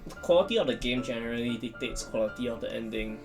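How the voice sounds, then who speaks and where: A man with a medium-pitched voice talks as a guest on a casual show. He explains things plainly and a little hesitantly. Soft music sits faintly in the background. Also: animated, quick pace. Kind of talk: casual chat, explaining visual novels.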